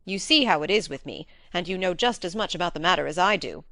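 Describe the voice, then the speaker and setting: A woman's voice: fairly low pitch, lively intonation, fast talker, vocal fry, pushed and bright, no echo, full character voice. A woman voicing an eager, frank young male baronet in an audiobook story. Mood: hearty, excited.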